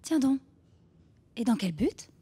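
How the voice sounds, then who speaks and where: High, bossy, gushing group leader. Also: female voice, very animated, slow, warm tone, husky, heavily acted. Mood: falsely cheerful. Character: brisk, falsely cheerful woman running a support circle. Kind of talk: radio drama, satirical group facilitator.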